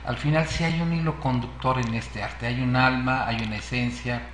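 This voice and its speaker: A man's voice: mid-range voice, steady tone, normal speed, fairly bright tone, echoey room, slight background noise. A man from Yucatán with a Mexican accent, hosting and reflecting on art while he searches for words. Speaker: sociologist, sculptor and interviewer. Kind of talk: interview talk.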